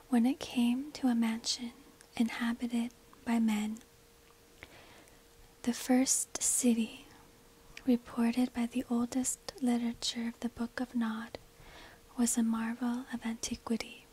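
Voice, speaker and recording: Breathy whispering woman, slow ASMR storyteller. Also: a little high, rough recording. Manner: slow, hushed, deliberate phrasing. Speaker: narrator. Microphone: close binaural microphone.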